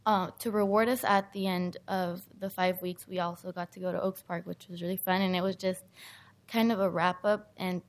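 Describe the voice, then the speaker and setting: A teenage young woman, a high school student, giving an earnest prepared speech in public. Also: little pitch movement, normal speed. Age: teens.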